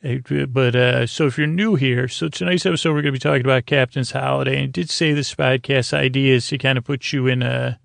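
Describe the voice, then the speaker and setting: Male podcast host, gravelly and fry-laden, close dry sound, goofy stop-start bedtime rambling. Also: medium pitch, steady tone, a bit bright, dry recording. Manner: halting, meandering, self-interrupting, goofy.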